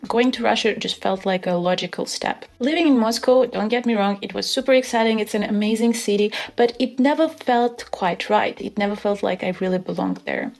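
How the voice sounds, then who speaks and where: A woman from Armenia in her twenties, talking through an essay video in an earnest, measured way with soft laughs. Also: mid-range voice. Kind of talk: scripted explainer with personal story.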